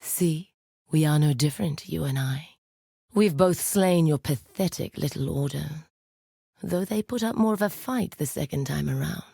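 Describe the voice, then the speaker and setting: A woman playing a commanding, coldly seductive necromancer villainess in a video game, speaking in a grandiose, deliberate, menacing way. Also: low voice, gravelly, mellow, husky, dry recording, studio quality, full character voice. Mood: cold, taunting.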